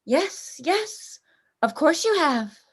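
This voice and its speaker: Lady playing a cruel posh hunter general in a radio play, high voice, slow n suave. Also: big pitch swings, exaggerated voice. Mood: coolly amused. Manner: suave, theatrical, measured. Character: urbane, cruel general and big-game hunter, Zaroff.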